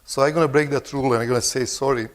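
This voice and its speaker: A middle-aged man with a Croatian accent giving a conference presentation, halting and restarting phrases and clearing his throat now and then. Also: mid-range voice, steady tone. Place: large conference hall. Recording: long hall reverb.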